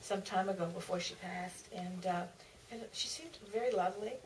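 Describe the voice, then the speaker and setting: A woman's voice: on the low side, moderate pace. A woman answering informally on a panel, feeling her way through sentences and correcting herself. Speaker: author on a Q&A panel. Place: theater stage. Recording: distant theater mic, faint noise.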